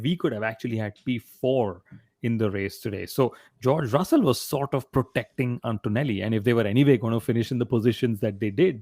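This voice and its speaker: An Indian man speaks as a podcast host in a casual, conversational way. His voice has a creaky, gravelly edge at a middling pitch. The sound is close and dry. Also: a little mellow, dry recording. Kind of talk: casual motorsport podcast discussion.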